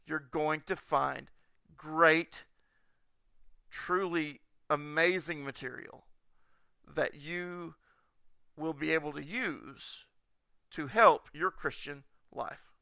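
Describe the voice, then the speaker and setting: Bright, clear-voiced man hosting a podcast. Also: fairly high pitch, slow pace, long pauses, dry recording, clean studio sound. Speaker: podcast host.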